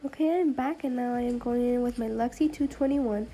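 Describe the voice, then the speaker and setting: Young woman, tutorial narrator, fairly high pitch, relaxed dark tone, sounds sick with a cold. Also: brisk, poor audio. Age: young adult. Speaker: makeup tutorial host. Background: background music.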